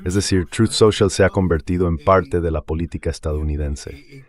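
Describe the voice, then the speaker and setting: A man's voice: low voice, fairly even intonation, moderate pace. A man reading a dubbed political and military commentary in an emphatic, explanatory way, in short declarative sentences. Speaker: translated voice-over of an interview guest.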